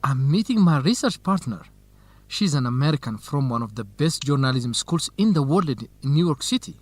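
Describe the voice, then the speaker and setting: A man's voice: mid-range voice, lively intonation, moderate pace, close to an everyday voice. A young man playing an eager, nervous journalism student in an educational radio drama, speaking earnest, carefully enunciated English.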